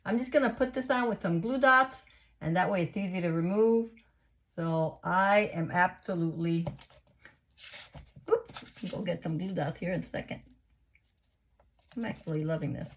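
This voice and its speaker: A woman hosting a casual craft tutorial, chatting through each step and a little hoarse and sniffly. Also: on the low side, moderate pace, long pauses, slight background noise. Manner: chatty, narrates each step, gushes over results. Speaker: craft video host.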